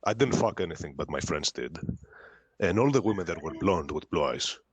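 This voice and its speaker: A man's voice: deep voice, animated, a little fast, crowd noise. A man speaking bluntly and drily in a casual online voice chat, with hesitant fillers. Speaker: debate participant. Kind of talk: argumentative voice chat.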